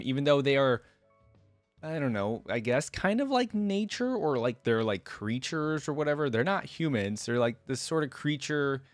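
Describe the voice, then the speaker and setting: A man's voice: lowish voice, no echo. Casual male reaction host, close and dry. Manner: rambling, conversational, thinking aloud.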